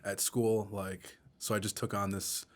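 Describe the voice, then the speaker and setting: A man's voice: on the low side, little pitch movement, unhurried. A man in his thirties from Minnesota, a podcast guest telling his life story candidly with many "like" fillers. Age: 30s. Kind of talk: personal story in podcast interview.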